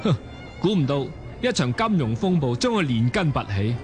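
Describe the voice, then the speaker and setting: A man's voice: mid-range voice, sing-song, moderate pace, a little mellow, soft background music, lightly acted. Man doing washed-up boozy hong kong cantonese gambler type, grumbly, very up-and-down delivery, radio drama. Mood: self-pitying. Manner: blustering, grumbling. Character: down-on-his-luck, belligerent, boozy failed stock speculator.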